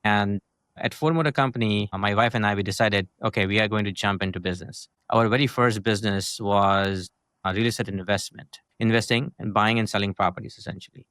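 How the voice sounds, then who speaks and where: A man's voice: lowish voice, steady tone, brisk, a bit bright, no room sound. A middle-aged man from India with an Indian accent, a guest talking through his story in long, loose sentences full of "right?" and "essentially". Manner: talks in long chains with many "uh", "right?" and "essentially". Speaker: guest, marketing agency founder. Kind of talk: casual interview conversation.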